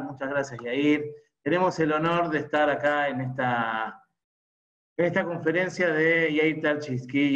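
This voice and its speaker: A man from Argentina, introducing a guest speaker with a halting, filler-heavy delivery. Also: on the high side, fairly bright tone. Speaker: event host. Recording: lo-fi video call audio.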